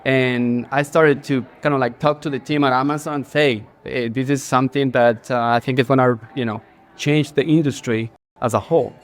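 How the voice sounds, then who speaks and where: A man's voice: mid-range voice, fairly even intonation, normal speed. A man in his forties from Ecuador with an Ecuadorian Spanish accent, speaking as a guest in a casual stage interview, earnest, with many fillers and restarts. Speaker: guest, creative director. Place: bar, live audience.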